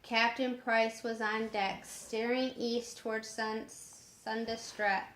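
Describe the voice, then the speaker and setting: A woman reading a story aloud in a measured way, sometimes stumbling over harder words, in an echoing room. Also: fairly high pitch, flat delivery, a bit bright, slight background noise. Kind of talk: storybook narration. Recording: roomy echoing home recording.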